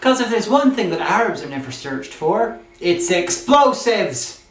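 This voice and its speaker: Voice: mid-range voice, very animated, unhurried, fairly bright tone. A comedy film review host with a sarcastic, theatrical, mock-imperious way of delivering scripted commentary. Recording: roomy echo, faint background noise.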